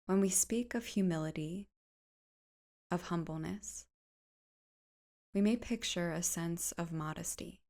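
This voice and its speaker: A woman guides a meditation in a fairly low, soft voice with a creaky edge. She speaks very slowly and gently, leaving space between phrases and letting their ends fade into breathiness. The delivery is soothing and unhurried.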